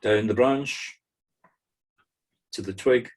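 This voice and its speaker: A Scottish man leading a guided meditation on a video call, soothing, with pauses between short, simple instructions. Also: mid-range voice. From Scotland. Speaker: spiritual medium leading a meditation group. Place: online video-call circle.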